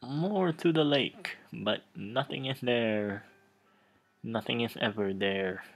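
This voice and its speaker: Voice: medium pitch, slow pace. A let's play host commenting on a game and reading its text aloud in a theatrical, stumbling way.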